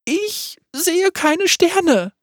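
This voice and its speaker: A man voicing a frightened young actress who speaks in a high, stammering, theatrical way, with a husky tone. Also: big pitch swings, extremely slow, a bit bright, no echo, clean studio sound, full character voice. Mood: trembling wistful. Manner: high, stammering, grand stage delivery.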